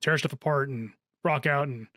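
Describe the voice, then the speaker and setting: A man's voice: medium pitch, moderate pace, bright, forceful tone, dry recording, clean studio sound. A middle-aged American man hosting a radio music show, chatting informally between songs in a conversational way. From Seattle area, United States. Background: music, guitar.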